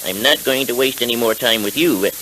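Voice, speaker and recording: An actor playing a mysterious, calm masked vigilante, measured, commanding and formal, in 1930s radio western style. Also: male voice, mid-range voice, lightly acted, rough recording. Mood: grimly resolved. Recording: hissy vintage 1930s radio transcription.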